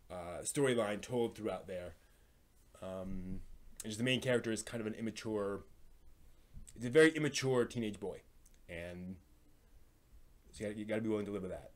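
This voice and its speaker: A man hosting a live stream, chatting casually and giving recommendations in a halting way, often thinking aloud. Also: lowish voice. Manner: halting, thinks aloud, hums filler syllables while searching.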